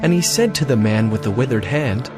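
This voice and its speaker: A man narrating scripture in an audio drama, reading in a steady, earnest way. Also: mid-range voice, brisk. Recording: music bed behind voice.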